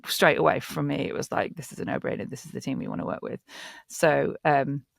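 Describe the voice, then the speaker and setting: A man's voice: a little high, fairly even intonation, brisk, gravelly, fairly bright tone, no echo, studio quality. A man talking enthusiastically as a producer in a panel interview. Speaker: audio drama producer.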